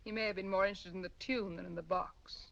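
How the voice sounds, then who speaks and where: An actress playing a poised, charming society woman, polished and quietly probing, in 1940s film style. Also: medium pitch, steady tone, fast, a little hiss or hum, lightly acted. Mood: probing, cool. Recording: muffled old film soundtrack.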